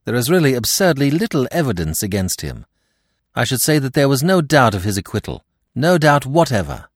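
A man voicing an earnest, eager, loyal gentleman companion to a detective in an audiobook. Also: lowish voice, sing-song, moderate pace, breathy edge, dry recording, studio quality, heavily acted.

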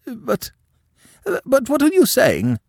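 A man voicing a haughty, prudish aristocratic countess who scolds in a high, shocked voice. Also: huge pitch swings, unhurried, no room sound, clean studio sound, heavily acted. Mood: indignant.

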